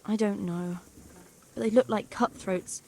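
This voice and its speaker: A woman plays a wary, protective village working woman in a video game. Her voice is mid-pitched, guarded and earnest. Faint ambient noise sits behind it. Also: fairly even intonation, measured pace, darkish tone, natural voice. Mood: guarded worry. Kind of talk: video game cutscene acting.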